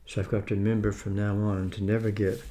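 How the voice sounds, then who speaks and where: A man's voice: fairly low pitch, level tone, dark tone. A man in his seventies chatting wryly while eating lunch at his dining table, recorded by a camera on the table. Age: 70s. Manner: chatty, wry, unhurried. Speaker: vlogger. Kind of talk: casual talk while eating. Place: dining room at home.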